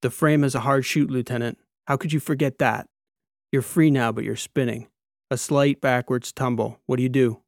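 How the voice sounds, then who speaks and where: A man's voice: medium pitch, fairly even intonation, normal speed, no echo, clean studio sound, close to an everyday voice. A man voicing a commanding, demanding military examiner in an audiobook, with barked, clipped orders. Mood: urgent, barking. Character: commanding one-eyed male military examiner, demanding, intense.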